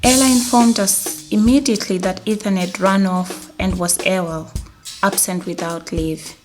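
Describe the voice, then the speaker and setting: A woman's voice: mid-range voice, steady tone, normal speed, darkish tone, soft background music, close to an everyday voice. A woman playing a concerned, matter-of-fact mental health nurse narrating a scripted training film. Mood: measured, concerned.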